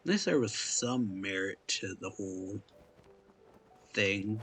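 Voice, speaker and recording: A man giving casual, low-key game commentary in a gravelly, husky voice, speaking in halting bits. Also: lively intonation, a bit bright, faint music behind. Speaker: reaction video host.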